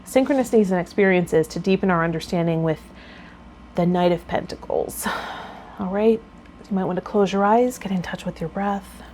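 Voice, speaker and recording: A woman's voice: fairly low pitch, normal speed. Woman tarot vlogger with noisy background. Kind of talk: reading card meanings, then casual chat to viewers. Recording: clear background noise.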